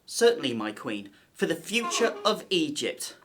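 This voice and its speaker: A man's voice: a little high, very animated, measured pace, a bit bright, slight background noise. A man playing a nervous, wary palace conspirator of ancient Egypt in an audio drama, speaking formally and theatrically. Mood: grave, conspiratorial.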